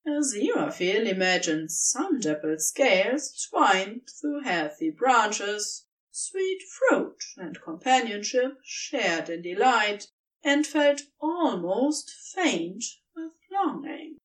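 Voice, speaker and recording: A woman reading a story aloud as an expressive scripted narration, recorded a little away from the mic. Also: mid-range voice, slow, far from the mic, poor audio. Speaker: podfic narrator.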